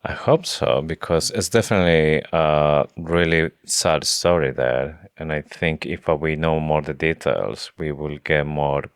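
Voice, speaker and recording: A man's voice: rumbling low, a little slow, few pauses, smooth, no echo, studio quality. A man co-hosting a podcast in casual book chat, with non-native English phrasing. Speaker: podcast co-host.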